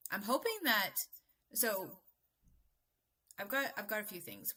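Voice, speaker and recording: A woman's voice: medium pitch, sharp tone. A woman hosting a casual live stream, a little stuffy and congested, chatting and greeting viewers by name with frequent ums. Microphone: streaming mic.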